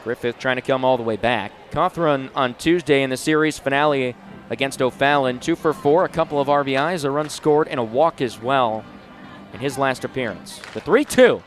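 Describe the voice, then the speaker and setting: A man's voice: medium pitch, normal speed, soft background music. A man in his twenties doing live play-by-play sports commentary in short, punchy announcer phrases. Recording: noisy live venue sound.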